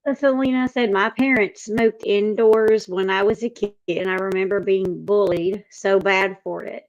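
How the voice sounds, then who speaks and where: A woman hosts an informal discussion in a medium-pitched voice. She alternates between reading comments aloud and talking them over in a casual, conversational way. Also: steady tone, normal speed, highs cut off. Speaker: panel host reading comments.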